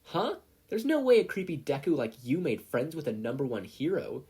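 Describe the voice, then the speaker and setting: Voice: mid-range voice, sing-song, moderate pace, breathy edge, voice pushed up. A story narrator voicing a brash, angry preschool boy, scornful and bragging. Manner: shouty, scornful, indignant.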